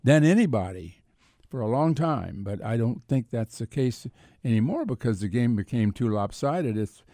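A man's voice: medium pitch, animated, moderate pace, mellow, no echo, clean studio sound. An older man with an American accent talking sports casually, in a rambling, reflective way. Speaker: sports columnist and radio co-host.

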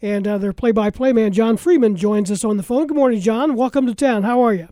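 A man's voice: high-pitched, fairly quick, mellow, dry recording, clean studio sound. An American man hosting a live local sports radio talk show, announcing and chatting with guests.

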